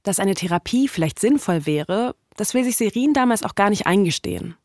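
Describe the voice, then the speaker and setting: A woman's voice: mid-range voice, lively intonation, a little fast, a little mellow, dry recording, clean studio sound. A woman hosting a narrative podcast, reading scripted storytelling in a conversational way with short dramatic sentences. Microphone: close studio microphone.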